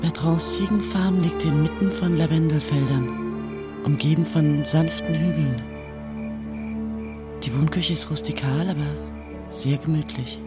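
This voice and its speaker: An actress playing an observant, wry inner narrator in a radio crime drama. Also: female voice, sits low, a little slow, long pauses, over loud music. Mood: descriptive.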